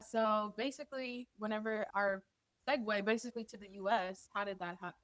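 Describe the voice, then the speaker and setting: A woman's voice: mid-range voice, fairly even intonation, brisk, a bit bright, no room sound. A young woman from Sudan co-hosting a casual chat, asking curious, friendly questions. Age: young adult. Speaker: co-host.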